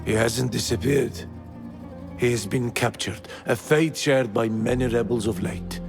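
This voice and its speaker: A nagging but caring older merchant turned rebel for a game cutscene. His voice is creaky and gravelly at a middling pitch. He speaks with fatherly, measured earnestness and a sly wry edge. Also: music in the background. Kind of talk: video game cutscene drama.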